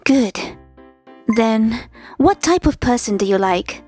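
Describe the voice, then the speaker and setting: A woman's voice: fairly high pitch, animated, slow, a little mellow, soft background music. A woman playing a polite, persistent young office worker with a crush in a scripted manga dub. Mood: hopeful, probing.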